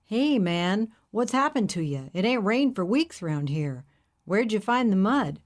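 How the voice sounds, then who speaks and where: A woman's voice: medium pitch, sing-song, unhurried. A woman voicing a genial middle-aged male hippie truck driver who speaks in a folksy, easygoing drawl.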